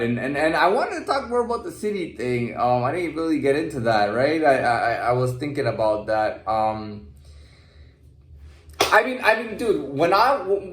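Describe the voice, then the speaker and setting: A man talking candidly in a casual, rambling personal monologue, repeating himself and checking that the listener follows. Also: on the high side. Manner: candid, repetitive, often checking with "you know what I mean". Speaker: vlogger telling personal stories. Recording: echoey, roomy sound.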